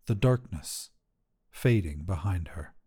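A man's voice: low voice, lively intonation, slow, noisy background. A man narrating scripted short fiction as the host of a story podcast.

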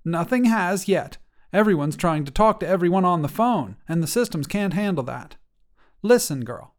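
A man's voice: high-pitched, lively intonation, moderate pace, pitched above the performer's own voice. Man doing a firm, reassuring grandma. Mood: calm. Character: warm protective old grandmother.

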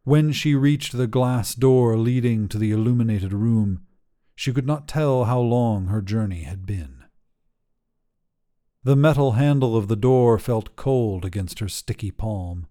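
A man narrating a scripted short story in a measured, dramatic storytelling manner. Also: medium pitch, mellow. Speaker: fiction podcast narrator.

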